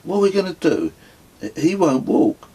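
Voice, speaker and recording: A man's voice: high voice, sing-song, normal speed, warm tone, breathy edge, voice pushed up, heavily acted. A man voicing an exasperated, pleading father in audiobook dialogue, urgent and imploring. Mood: despairing. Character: exasperated, pleading father coaxing his young son.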